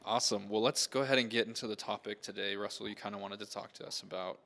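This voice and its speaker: A man in his late twenties co-hosting an interview podcast, enthusiastic and quick to laugh. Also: on the low side, steady tone, gravelly, fairly bright tone. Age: 20s. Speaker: podcast co-host.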